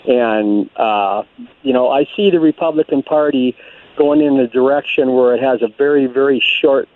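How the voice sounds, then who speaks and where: An American man calls in to a radio show over a tinny phone line. His voice sits at a middle pitch, and he words his question haltingly, sounding concerned. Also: no room sound. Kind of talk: unscripted call-in question.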